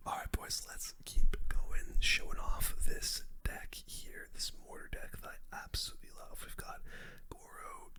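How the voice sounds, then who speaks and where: A person whispering casual, chatty ASMR gameplay commentary in a breathy, unvoiced voice, close to the microphone. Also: extremely high pitch. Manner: casual, chatty gamer slang. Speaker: gaming video host.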